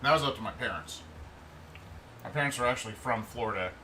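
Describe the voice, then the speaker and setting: A man in his thirties hosting a livestream in a relaxed, rambling way, chatting and reading out viewer comments. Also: mid-range voice, fast. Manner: relaxed, rambling, answers chat as he goes. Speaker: livestream host. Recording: steady background noise.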